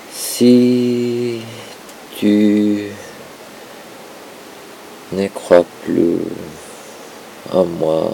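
A man giving a performative poetry reading, with a halting, dramatic delivery in short broken phrases and a gravelly, creaky voice. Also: lowish voice, a little mellow, a little hiss or hum, rough recording.

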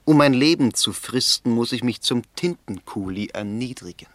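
An actor in a German radio play voicing a melancholy, lovesick young poet in a fervent, declamatory manner. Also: male voice, medium pitch, expressive, moderate pace, dry recording, natural voice. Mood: bitter, resigned.

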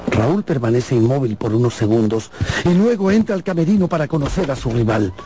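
A man narrating a radio drama in a theatrical storytelling way, with deliberate pauses. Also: medium pitch, lively intonation, warm tone, slight background noise. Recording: music behind the voice.

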